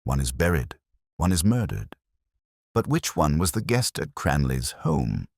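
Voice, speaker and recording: A brilliant male detective, played by a male narrator with an extremely low voice and lively intonation. He is composed and probing, setting out each deduction in a measured, cryptic way. It is storybook mystery dialogue, recorded close and dry. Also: vocal fry, no echo, exaggerated voice. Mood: measured, certain.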